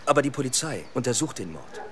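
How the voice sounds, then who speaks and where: A terse, dogged police detective. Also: male voice, mid-range voice, big pitch swings, quick pace, fairly bright tone, a little hiss or hum. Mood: guarded, probing. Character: dogged, sleepless young police detective in old Berlin. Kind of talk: radio play acting.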